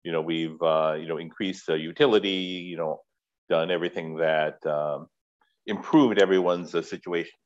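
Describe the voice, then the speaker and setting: A man's voice: low-pitched, steady tone. Older man lecturing over a dull call. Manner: measured, hesitant with frequent throat clearing. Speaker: keynote speaker. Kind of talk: academic lecture. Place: home office, indoors. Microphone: headset. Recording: dull lo-fi video call audio.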